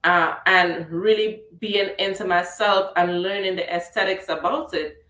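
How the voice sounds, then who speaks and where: A woman's voice: fairly low pitch, steady tone, a little slow, sharp tone, reverberant, poor audio. Chatty Trinidadian woman hosting talk radio. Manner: chatty, playful, laughs easily. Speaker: radio show host. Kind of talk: casual talk radio chat.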